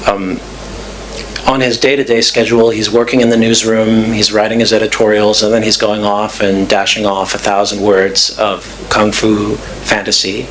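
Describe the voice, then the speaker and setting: A man giving thoughtful, measured answers at a talk's question session, pausing with fillers and restarts. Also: fairly low pitch, fairly bright tone, a little hiss or hum, poor audio. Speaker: guest speaker. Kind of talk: lecture Q&A answers. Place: club event room.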